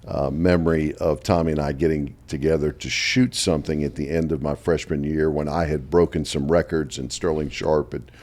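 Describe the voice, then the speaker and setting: A man's voice: rumbling low, moderate pace, hardly any pauses. A man in his fifties, a longtime play-by-play announcer, chatting in an interview and telling stories with frequent laughs. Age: 50s. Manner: animated storyteller who laughs often. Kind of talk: casual interview chat.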